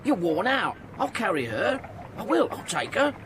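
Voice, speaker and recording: A man's voice: sits high, very animated, normal speed, background noise. An actor playing a kindly, poor old ticket porter in a radio drama, stammering and repeating himself as he fusses. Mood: eager, coaxing. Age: older.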